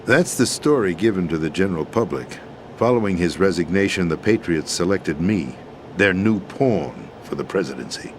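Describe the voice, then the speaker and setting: An actor playing a weary, formal deposed president and schemer in a video game, explaining things in a measured, deliberate way. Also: male voice, low voice, expressive, background noise. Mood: grave, measured. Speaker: video game character in a cutscene. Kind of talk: dramatic expository video game acting.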